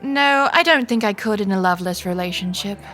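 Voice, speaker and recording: Actress as a wary young woman, sardonic audio drama heroine, expressive and inwardly flustered, long reverberant tail, music underneath. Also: medium pitch, brisk, lightly acted. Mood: feisty, incredulous. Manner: wry, expressive, with sighing interjections. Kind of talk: audio drama dialogue with inner monologue.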